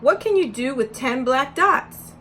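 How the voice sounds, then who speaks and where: A woman's voice: sits high, animated, a little slow, sharp tone, slight background noise. A woman educator giving a read-aloud lesson with teaching tips, speaking in an instructional way and with emphasis when reading. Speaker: early childhood educator.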